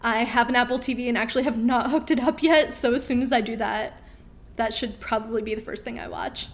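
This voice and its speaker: A woman's voice: a little high, fairly even intonation, brisk. A woman in her thirties hosting a live question-and-answer stream, reading viewer comments in a chatty, upbeat way with frequent ums, her voice getting worn. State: losing her voice.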